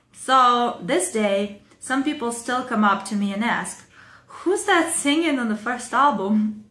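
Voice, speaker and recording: Woman, Ukrainian accent, casual vlog host, chatty with fillers, mid pitch, roomy echo. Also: a bit bright. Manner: chatty, loose, with frequent fillers and small laughs. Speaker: songwriting video host. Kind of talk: casual talk to camera with reading aloud. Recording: echoey room.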